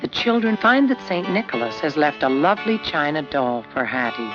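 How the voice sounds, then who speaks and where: Vintage educational film narrator, measured storyteller, mid-pitched voice, lo-fi soundtrack with prominent guitar music. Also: big pitch swings. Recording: dull lo-fi 1970s film soundtrack.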